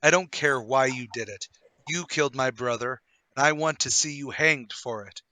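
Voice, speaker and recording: A man playing a bitter, impatient, accusatory gentleman out for vengeance in a mystery radio play table read. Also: medium pitch, moderate pace, natural voice. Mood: angry, accusing. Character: bitter, impatient gentleman demanding vengeance for his murdered brother.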